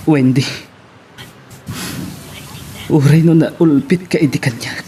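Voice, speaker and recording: A man acts out a sorrowful young lover in an Ilocano audio melodrama. His voice is somewhat high for a man yet dark and soft, with an Ilocano accent and an earnest, tender delivery. There is noise in the recording. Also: takes it slowly. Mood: tender grief. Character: heartbroken young man, devoted grieving lover and son. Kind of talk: Ilocano radio-style melodrama acting.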